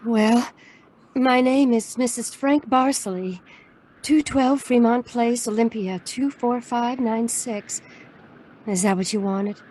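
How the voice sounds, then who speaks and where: A woman's voice: on the high side, takes it slowly, mellow, a little hiss or hum. An actress playing a mysterious, wistful married woman in a noir radio drama, speaking in an earnest, restrained way. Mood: guarded, urgent. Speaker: actor in an audio drama.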